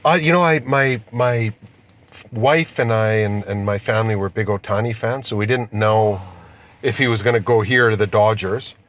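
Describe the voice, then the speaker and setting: Low-voiced middle-aged man in a noisy car. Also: steady tone, normal speed, fairly bright tone. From born in Austria, immigrant to Canada. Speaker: company chief executive being interviewed. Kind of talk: casual conversational interview. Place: inside a car being driven. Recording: noisy moving vehicle interior.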